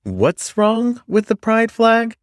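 A man's voice: very high voice, very animated, slow pace, no echo, clean studio sound, pitched above the performer's own voice, exaggerated voice. A man voicing an argumentative, self-righteous male student in a story, emphatic and dismissive. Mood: griping. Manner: emphatic, griping, insistent.

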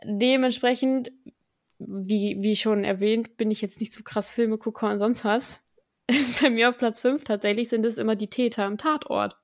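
A woman's voice: on the high side, fairly even intonation, moderate pace. A young woman co-hosting a podcast in casual chat, giggly and playful. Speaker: podcast co-host.

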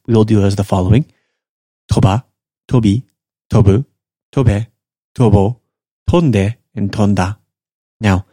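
A man's voice: fairly low pitch, dark tone, dry recording, clean studio sound. A man hosting a podcast lesson, explaining step by step and repeating each example in a casual, self-joking way. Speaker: Japanese language teacher and podcast host.

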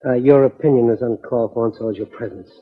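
A man's voice: medium pitch, fast, breathy edge, natural voice. A man playing a spoiled, impatient, haughty spendthrift heir in a 1940s radio drama. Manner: haughty, peevish. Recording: muffled, dull 1940s radio recording.

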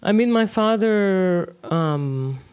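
Relaxed woman in her thirties, musing. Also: medium pitch, slow, clear, resonant tone. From Kuwait. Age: 30s. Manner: relaxed, thinks aloud with many fillers. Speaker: interview guest, music producer. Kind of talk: casual conversational interview.

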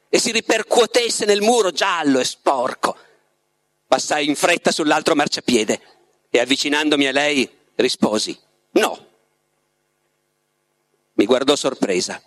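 A man's voice: medium pitch, fairly bright tone, dry recording, natural voice. A man voicing a lovestruck, tormented writer confiding his story in a dramatic literary reading, turning to his listener with rhetorical questions. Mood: wistful, intense. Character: lovestruck, tormented male writer in a psychiatric clinic.

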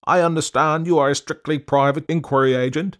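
A man voicing a grave older male bank manager who speaks formally and in a measured, stiff way. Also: a little high, fairly even intonation, fairly bright tone, voice pushed up, lightly acted.

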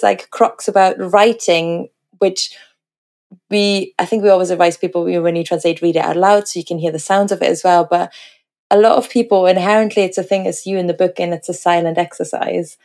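A woman's voice: mid-range voice, steady tone, brisk, a little mellow. A woman interviewer talking conversationally and discursively, thinking aloud as she builds toward her questions.

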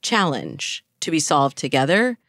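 A woman's voice: low-pitched, wildly swooping pitch, measured pace, fairly bright tone, no echo, studio quality. An American woman speaking as a dating coach in a conversational, explanatory and emphatic way. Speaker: dating coach, podcast guest. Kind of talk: conversational expert advice.

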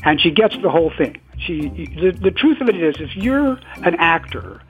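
A man's voice: a little high, sing-song, moderate pace, faint music behind. A man, a TV director, talking in an interview with amused, admiring chuckles. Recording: tinny phone-like audio.

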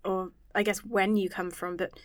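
Actress as self-conscious woman scientist, earnest and rambling, naturalistic audio drama, medium-pitched voice. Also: little pitch movement, moderate pace, no echo, clean studio sound, lightly acted. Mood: confiding.

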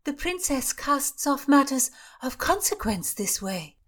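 A woman's voice: sits high, big pitch swings, a little slow, voice pushed up. A woman voicing a breathless, indignant young lady-in-waiting in a storybook reading. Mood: gossipy. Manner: breathless, scornful.

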